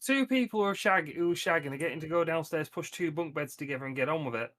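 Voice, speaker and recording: Blunt sweary guy playing tabletop rpg, voice a bit high, casual banter, very dry audio. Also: quick pace, fairly bright tone, dry recording. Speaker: player in a tabletop game.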